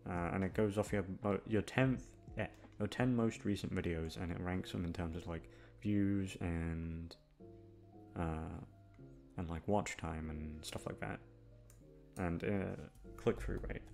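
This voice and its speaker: A man's voice: deep voice, fairly even intonation, measured pace, creaky, a little mellow, faint music behind. A man chatting casually to his listeners, rambling in a downbeat, complaining way and swearing freely. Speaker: channel host, audio voice actor.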